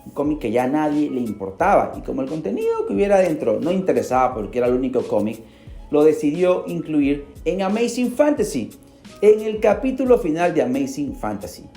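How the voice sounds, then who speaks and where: A man hosts a podcast and narrates a story in a dramatic, animated way. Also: fairly high pitch, brisk, vocal fry. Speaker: podcast host. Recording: roomy echo. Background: faint music.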